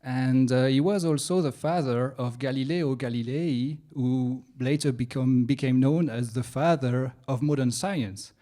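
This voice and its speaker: A young man from France with a French accent, doing a science stand-up comedy set in a self-mocking, halting way with playful asides. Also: medium pitch, dark tone. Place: comedy venue stage.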